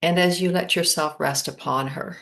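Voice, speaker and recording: A woman leading a guided meditation, speaking calmly in spacious phrases with long pauses. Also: lowish voice, vocal fry.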